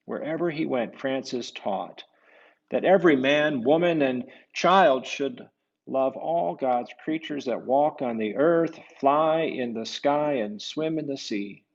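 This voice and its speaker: A man reads a picture book to children at an unhurried pace, with a gravelly edge to his voice. He pauses for casual, musing asides about the pictures. The sound is a little dull and lo-fi. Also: mid-range voice. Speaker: priest reading a children's picture book aloud. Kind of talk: storybook read-aloud with casual asides to children.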